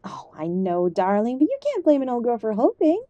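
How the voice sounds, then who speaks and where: Give the part to an affectionate, ailing older mother played by a woman in an audio drama. Her voice is soft-edged and mellow, recorded close and dry. She fusses gently, old-fashioned and stubbornly hopeful. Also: a little high, wildly swooping pitch, normal speed, no room sound, dull audio. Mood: upbeat, hopeful. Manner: gentle, fussing, uses endearments.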